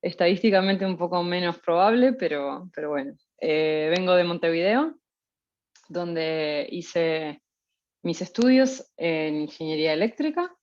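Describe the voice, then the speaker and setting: A woman in her thirties from Uruguay with a Uruguayan Spanish accent, giving an informal academic talk with relaxed fillers and restarts. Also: on the low side, unhurried, dull audio. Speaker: guest lecturer, postdoctoral researcher.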